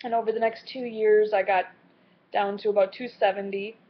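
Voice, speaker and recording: A woman in her late twenties talking in a personal video diary, earnest and candid, with a halting way of speaking. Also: medium pitch, flat delivery. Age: late 20s. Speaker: vlogger. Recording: slightly muffled lo-fi audio.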